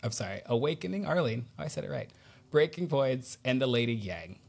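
A man's voice: mid-range voice, lively intonation, vocal fry. A man hosting a podcast in casual interview chat, enthusiastic and informal. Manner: enthusiastic, informal, quick to laugh. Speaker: podcast host.

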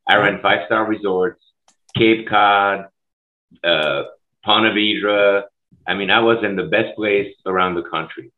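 A man's voice: lowish voice, steady tone. A middle-aged man from Switzerland, a school food services director, speaking passionately and emphatically in an interview over a video call. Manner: passionate, emphatic, rapid bursts. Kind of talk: conversational interview. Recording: tinny phone-like line.

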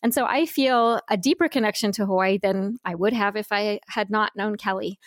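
A woman's voice: medium pitch, moderate pace, no echo, clean studio sound. A woman hosting an interview podcast, enthusiastic as she introduces and reads her guest's bio. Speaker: podcast host.

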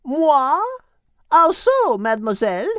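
A man's voice: very high voice, very animated, takes it slowly, fairly bright tone, no room sound, clean studio sound, pitched above the performer's own voice, full character voice. Man doing a bossy French lady goose. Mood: surprised. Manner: brusque, commanding. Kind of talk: audiobook character acting.

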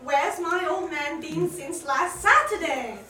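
Very shrill, brash female heckler, acted. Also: slow pace, exaggerated voice. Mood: cheeky, insistent. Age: young adult. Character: brash, nagging female music-hall heckler. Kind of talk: radio play crowd acting. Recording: echoey, distant, amid loud crowd.

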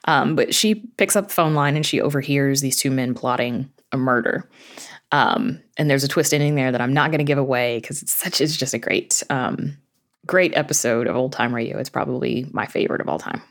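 Female interview guest, low register, conversational and chatty, thoughtful explainer. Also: brisk. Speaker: interview guest, horror fiction podcast creator.

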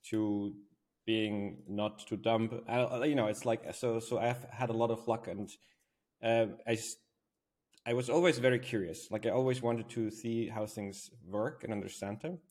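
A man from Switzerland with a fairly low voice answers as a podcast guest. He talks in a conversational way, laying out his thoughts in a reflective, explanatory style. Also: steady tone, normal speed, a little mellow. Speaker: podcast guest, robotics company founder.

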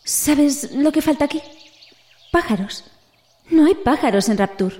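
A woman's voice: sits high, expressive, unhurried, dark tone. A woman playing a worried, self-deprecating botanist confiding in a colleague in an audio drama. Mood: concerned, confiding.

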